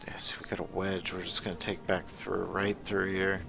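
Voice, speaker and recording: A man giving casual gameplay commentary, thinking aloud in a halting, musing way and often trailing off mid-thought. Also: sits low, monotone, creaky, highs cut off, background noise. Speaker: gaming video host.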